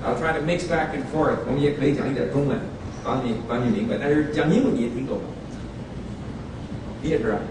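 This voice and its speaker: A man's voice: mid-range voice, brisk, pauses often. Man speaking in an echoey bookstore. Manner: relaxed, chatty, switches languages, asks the audience questions. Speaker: speaker giving a talk on language learning. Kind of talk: informal public talk to an audience. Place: bookstore event space. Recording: echoey room recorded from far off, background noise.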